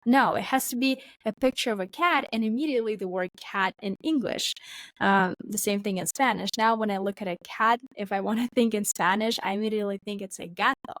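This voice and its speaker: A woman's voice: medium pitch, fairly bright tone, no echo. Chatty woman, dry close sound. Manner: chatty, fast, peppered with "like". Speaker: podcast co-host. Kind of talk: casual chat.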